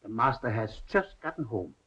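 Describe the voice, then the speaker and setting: An actor playing a deferential servant-spy, speaking formally and furtively in a 1930s film. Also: male voice, medium pitch, sing-song, normal speed, sharp tone, husky, heavily acted. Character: furtive, deferential male servant spying on his master. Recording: muffled 1930s film soundtrack.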